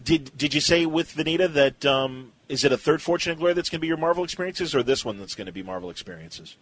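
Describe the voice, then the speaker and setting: Fast-talking man hosting a chat. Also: a little high, expressive, fairly bright tone, a little hiss or hum. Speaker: podcast host. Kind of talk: casual interview chat.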